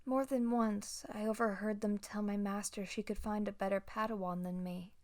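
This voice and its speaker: A young woman playing a gentle, self-doubting Padawan on the run in an audio roleplay, speaking softly and hesitantly with halting pauses. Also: medium pitch, steady tone, close to an everyday voice. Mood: sad, self-doubting. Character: timid, grieving young female Jedi Padawan fugitive, self-doubting and gentle. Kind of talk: audio roleplay acting, intimate ASMR-style monologue.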